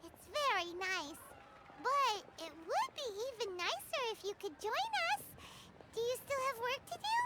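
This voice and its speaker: A woman voicing a tiny, cheerful, chatty girl companion in an animated video game, exclamatory and eager. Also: very high voice, a little slow, very noisy, heavily acted. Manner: exclamatory, refers to self in third person. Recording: loud music behind the voice. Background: music.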